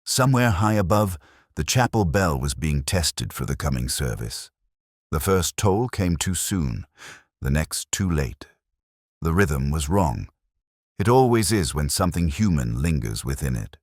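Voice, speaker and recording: A man playing a weary, erudite police inspector, narrating his case in a measured, melancholy, sardonic way as a literary audio drama. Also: extremely low pitch, sing-song, breathy edge, no echo, clean studio sound, full character voice. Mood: brooding.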